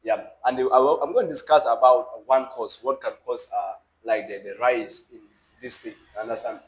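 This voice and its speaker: A man's voice: medium pitch, normal speed. A young man from South Sudan with a South Sudanese accent gives his opinion conversationally, emphatically checking that the listener follows. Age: young adult. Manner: emphatic, repeatedly checks listener understands with questions. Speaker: podcast guest. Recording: roomy echo with faint background noise.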